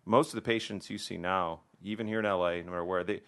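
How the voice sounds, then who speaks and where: Low-pitched, fast-talking male guest, easygoing and conversational. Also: smooth. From American Midwest. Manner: relaxed, conversational, many restarts and "you know". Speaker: plastic surgeon guest. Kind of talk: casual podcast interview.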